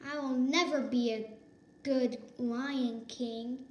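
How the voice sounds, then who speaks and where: Lady doing a mopey little lion cub boy from a kids storybook, high voice, roomy echo. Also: steady tone, takes it slowly, a little mellow, dull audio, slight background noise. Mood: sulky, dejected. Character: sulky, discouraged young male lion cub.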